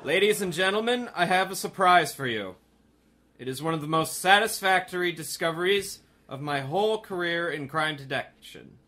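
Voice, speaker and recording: An actor playing a self-satisfied, commanding police commissioner in pompous 1930s radio style. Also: male voice, fairly high pitch, pushed and bright. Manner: pompous, authoritative. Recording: noisy track with background ambience.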